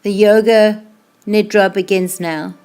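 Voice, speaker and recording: A woman yoga instructor leading a guided meditation in a slow, calm, soothing way, with unhurried phrases that trail off softly. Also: mid-range voice, smooth. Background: music playing behind the voice.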